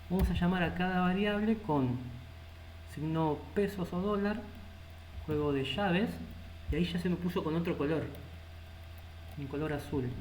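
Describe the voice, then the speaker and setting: A man with a Rioplatense Spanish accent teaching a live coding lesson, thinking aloud as he types. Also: on the high side. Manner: thinks aloud while typing code. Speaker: programming instructor. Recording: echoey room with loud background noise.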